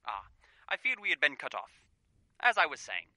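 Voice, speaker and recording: A man playing a fussy, genial and pompous professional gentleman in an old-time radio play. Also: on the high side, wildly swooping pitch, normal speed, no room sound, exaggerated voice. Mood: courteous, effusive.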